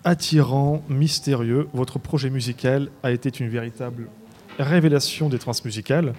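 Male festival interviewer, mellow tone, big reverberant hall, faint background crowd. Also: medium pitch, steady tone, normal speed, a little hiss or hum.

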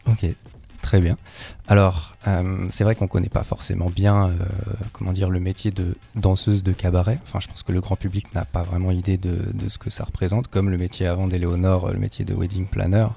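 A man's voice: low voice, level tone, fairly quick, vocal fry, mellow, husky. A man interviewing guests, hesitant and searching for his words. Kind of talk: relaxed interview questions.

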